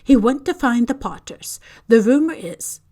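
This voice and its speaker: A stern older witch, sharp and pressing, her voice pitched up and loud with worry, as a woman reading the story puts her on. She pushes her questions urgently, the words trembling. A storybook schoolmistress on edge. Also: expressive, normal speed, lightly acted. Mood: anxious. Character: stern older witch professor, anxious and emotional.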